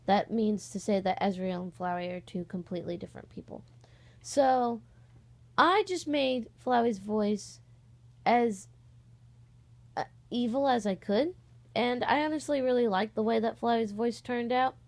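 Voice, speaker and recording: A young woman with a fairly high voice speaks in an informal vlog style. She rambles along hesitantly, stopping to correct herself and picking the thought back up as she asks her followers to take part. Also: a little slow, long pauses. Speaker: online voice-acting channel host. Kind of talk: casual vlog, audition call.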